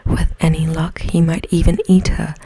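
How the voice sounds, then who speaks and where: A woman voicing a scheming, jealous young fairy-tale sister in a sly, complaining, breathy whisper. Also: deep voice, little pitch movement, normal speed, noisy background. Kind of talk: whispered bedtime storybook acting.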